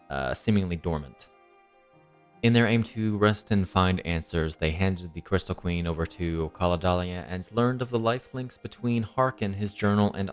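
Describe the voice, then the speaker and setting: A young man with a slightly nasal voice, recovering from illness, hosting and recapping a tabletop game as dungeon master with many ums and uhs. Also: deep voice. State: recovering from illness and tooth surgery. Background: soft background music.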